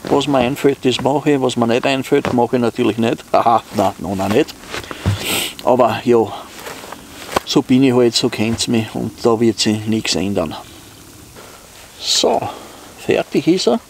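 A man's voice: mid-range voice, measured pace, pauses often, noisy background. A man with an Austrian accent talks casually and unscripted to his viewers in an easygoing, rambling way, as an outdoor vlogger. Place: outdoors in snowy woods.

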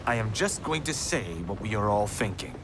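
An actor playing a cocky, wisecracking young monk warrior in a game cutscene. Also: male voice, lowish voice, expressive, normal speed, background noise, natural voice. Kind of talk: video game cutscene acting. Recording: game cutscene with loud music.

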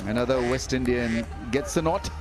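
A male cricket commentator calls the match live, reading scores and bowling figures. He jumps up in pitch and energy when a wicket falls. Clear music plays behind his voice in the broadcast mix. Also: moderate pace. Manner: excitable, rises sharply on wickets.

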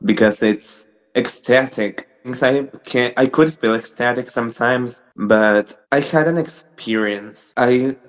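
Young Argentine man, halting English. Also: medium pitch, low-quality recording. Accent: Argentine Spanish. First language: Spanish. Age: young adult. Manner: hesitant, restarts, gestures while talking. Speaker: caller asking a question. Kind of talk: hesitant personal question. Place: small room with brick wall, indoors. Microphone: video call webcam.

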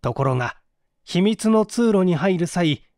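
Guy reading a mystery confession aloud, kinda high voice, very lively intonation, formal wording. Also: no room sound, studio quality. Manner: measured, formal literary delivery. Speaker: audiobook narrator. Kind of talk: dramatic reading of a mystery story as a first-person confession.

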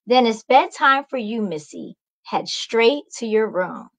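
A woman's voice: fairly high pitch, expressive, unhurried, fairly bright tone. Storybook mom, played by a woman reader: stern, exasperated, scolding. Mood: stern, bossy. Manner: scolding, exclaiming. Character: stern exasperated mother scolding her little daughter.

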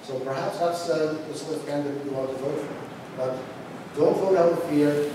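A man's voice: fairly high pitch, steady tone, normal speed. A middle-aged man, a political candidate, giving an earnest closing statement at a debate into a handheld mic in a large banquet hall. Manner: earnest, urging, repeats phrases. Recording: echoey hall from far off, background noise.

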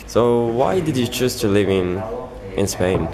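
A young man hosts a travel vlog in a low, unhurried voice. He asks his questions in a relaxed, easygoing way and laughs readily. Loud restaurant chatter fills the background. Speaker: vlog host. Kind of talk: casual vlog interview. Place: busy indoor restaurant. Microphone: handheld camera. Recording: loud crowd chatter behind.

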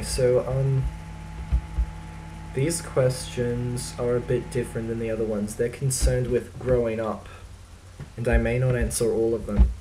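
A young man with an Australian accent, talking casually to his webcam in a dry, deadpan, self-mocking way while answering a list of questions. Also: mid-range voice, noisy background. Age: young adult. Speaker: vlogger. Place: small indoor room.

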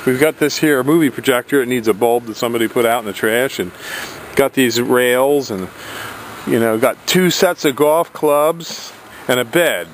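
A man's voice: medium pitch, normal speed, a little hiss or hum. A man who sells antiques from hauling jobs, talking casually and reading old items aloud while filming with a handheld camera. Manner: rambling, plain-spoken, reads items aloud. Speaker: hauler selling collected antiques. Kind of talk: casual handheld video tour.

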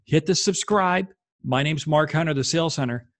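A man's voice: on the high side, normal speed, fairly bright tone, no echo. A man in his fifties giving an instructional talk as a sales coach, emphatic, in short punchy statements, close to a desk microphone. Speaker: sales coach and video host. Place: small home office, indoors.

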